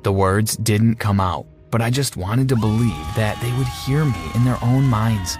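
A repentant teenage bully played by a man tells his story in the first person. The voice is fairly low, earnest and dramatic, with music running underneath. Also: unhurried, a little mellow, close to an everyday voice. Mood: resigned, then amazed.

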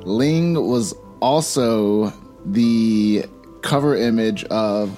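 Male podcast host, slightly low voice, slangy and chummy, faint music underneath. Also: extremely slow, mellow. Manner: friendly slangy, calls guest bro. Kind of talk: casual interview chat.

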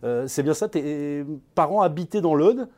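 A man's voice: a little high, lively intonation, normal speed, warm tone. A man hosting an interview, introducing his guest and prompting him with chatty questions. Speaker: host.

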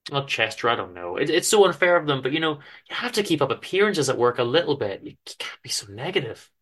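A man voicing a caring, gently worried wife in an affectionate, hesitant way, in a story reading. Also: mid-range voice, big pitch swings, quick pace, husky. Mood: tender, worried.

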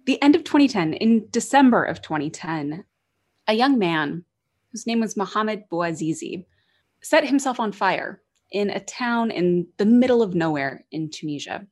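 Woman guest on a podcast, wry and lively, medium voice, just talking through a story. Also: medium pitch, moderate pace. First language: English. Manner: lively, wry, laughs while telling. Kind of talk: storytelling in an interview.

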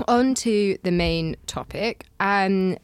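A woman's voice: mid-range voice, takes it slowly, clear, resonant tone, no room sound. A woman hosting a casual podcast chat. She talks easily and laughs often. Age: 30s. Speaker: podcast host.

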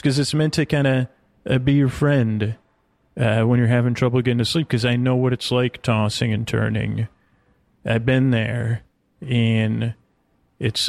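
A man hosting a sleep podcast, delivering a halting, meandering bedtime monologue full of stammers and digressions, in a gravelly voice. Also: mid-range voice, no room sound.

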